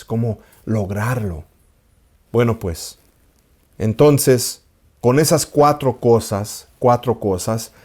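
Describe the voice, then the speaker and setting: A man's voice: mid-range voice, dark tone. A man teaching as an Orthodox priest, raised in an English-speaking country, speaking earnestly, repeating phrases for emphasis and slipping in English words. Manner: halting, apologetic about his Spanish. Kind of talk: spiritual teaching talk.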